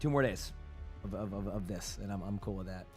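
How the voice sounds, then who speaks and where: A male streamer chatting casually with his live chat, his voice hoarse and rambling with many restarts. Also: sits low, fairly quick, soft background music.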